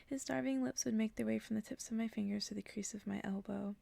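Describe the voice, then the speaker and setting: A woman reading a story aloud as ASMR in an intimate, hushed whisper. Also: on the high side, fairly even intonation, quick pace, creaky, dry recording. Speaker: ASMR reader.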